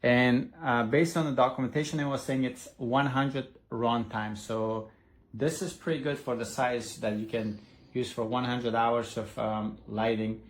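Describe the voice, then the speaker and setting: A man's voice: medium pitch, steady tone, measured pace. A man reviewing a product in a casual, hands-on way, explaining step by step with some hesitation. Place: indoors at a desk.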